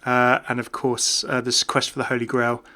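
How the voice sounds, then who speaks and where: A man's voice: medium pitch, monotone, normal speed, pushed and bright. A man giving casual, off-the-cuff video game commentary in a chatty, enthusiastic way. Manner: chatty, enthusiastic about small details.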